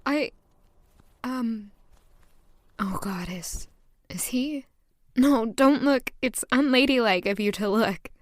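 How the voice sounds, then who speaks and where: A woman's voice: on the high side, slow, long pauses, no echo, clean studio sound. A woman playing a flustered, demure young maid given to romantic daydreams in an audio drama. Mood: flustered, polite. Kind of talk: audio drama acting with first-person narration.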